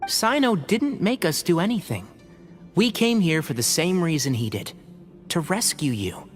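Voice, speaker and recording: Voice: medium pitch, sing-song, unhurried, faint music behind. An actor voicing an earnest, polite and loyal young companion in a video game. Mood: earnest, firm. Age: young adult.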